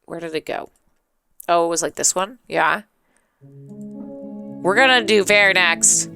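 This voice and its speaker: A woman's voice: lowish voice, unhurried, vocal fry, sharp tone, smooth. A woman streaming a let's play, reading a visual novel aloud with playful, dramatic, giggly asides. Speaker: gaming streamer. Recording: music behind the voice. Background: game music.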